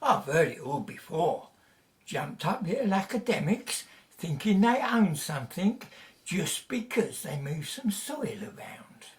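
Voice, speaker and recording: A woman's voice: on the low side, big pitch swings, unhurried. A woman in an audio drama playing an officious male official, pompous, clipped and dismissive. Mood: scornful. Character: officious, sneering male British Museum official guarding site.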